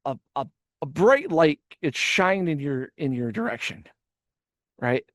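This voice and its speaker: A man's voice: a little high, sing-song, a little slow, fairly bright tone, no room sound, clean studio sound. A man hosting a casual reaction podcast, rambling with stammered restarts and swearing. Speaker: podcast host.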